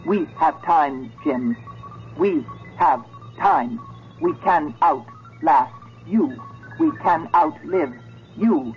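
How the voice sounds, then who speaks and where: An actress playing a coldly menacing, sentient female computer in a 1970s radio drama, speaking slowly, deliberately and imperiously. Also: sits low, lively intonation, soft background music, full character voice. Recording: dull vintage radio drama recording.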